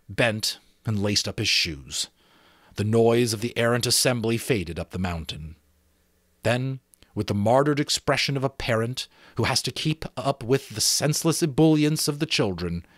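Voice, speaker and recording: A man reading a story aloud as an amateur narrator, dramatic and expressive, with deliberate phrasing. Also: on the low side, no echo, studio quality.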